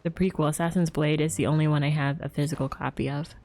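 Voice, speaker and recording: A woman's voice: deep voice, steady tone, quick pace, a little mellow. A woman livestreaming a game and chatting casually with viewers in a rambling, giggly way. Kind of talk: casual chat with stream viewers. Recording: game music behind the voice.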